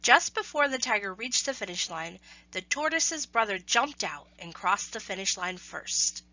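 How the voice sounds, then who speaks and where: Bright-voiced woman reading a children's story. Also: mid-range voice, animated, moderate pace, no echo, highs cut off. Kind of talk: scripted children's story narration.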